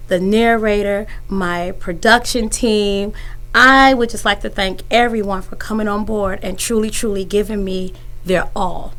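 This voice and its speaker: Woman, director-interviewer, mid-pitched voice, earnest delivery with repetition for emphasis. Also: fairly bright tone, low-quality recording. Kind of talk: stage cast interview.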